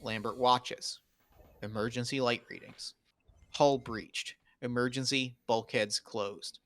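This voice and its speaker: A man's voice: mid-range voice, fairly even intonation, takes it slowly, fairly bright tone, no room sound. A man reading screenplay stage directions in a dramatic table read.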